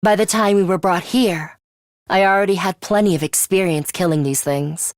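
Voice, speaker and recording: A woman's voice: on the low side, normal speed, no room sound, studio quality, natural voice. A woman voicing an aloof, scornful young female fighter with a sadistic streak in a video game, curt and condescending in manner. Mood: cool, confident. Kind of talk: English video game voice acting.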